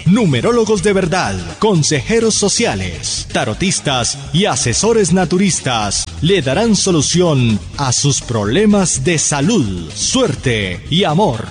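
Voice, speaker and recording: A man reading radio commercials in a measured, persuasive voice. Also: fairly high pitch, very animated, soft background music. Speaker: ad announcer.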